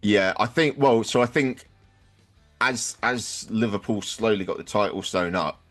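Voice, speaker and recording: Deep low guy hosting a footy podcast, chatty, bit of music under him. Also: unhurried, fairly bright tone. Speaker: podcast host. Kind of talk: casual sports podcast discussion.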